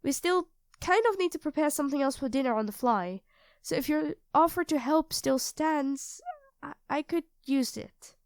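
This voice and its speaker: A woman's voice: high voice, moderate pace, no echo, clean studio sound. A woman playing a sweet, self-doubting young girlfriend in an intimate ASMR roleplay, speaking hesitantly and earnestly to her partner. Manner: hesitant, soft-spoken, earnest, addressing a partner directly.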